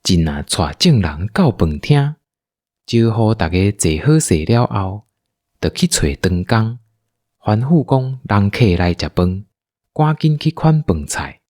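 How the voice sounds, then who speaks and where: A man's voice: fairly low pitch, very animated, a little slow, a little mellow, no room sound, clean studio sound. A man with a Taiwanese accent narrating a scripted audio drama as its storyteller.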